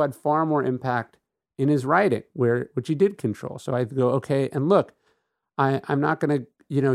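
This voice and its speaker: A man's voice: mid-range voice, darkish tone, no room sound, clean studio sound. A middle-aged man hosting a podcast, talking through ideas in an earnest, emphatic, conversational monologue and often checking in with "right?". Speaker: podcast host. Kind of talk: conversational reflective monologue on philosophy.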